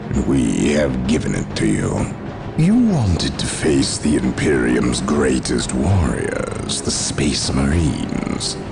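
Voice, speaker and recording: A man playing a cunning, coolly polite villain in a video game cutscene, speaking in a formal, measured way. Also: a bass voice, vocal fry, exaggerated voice. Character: cunning, smoothly courteous villain, a male dark conspirator.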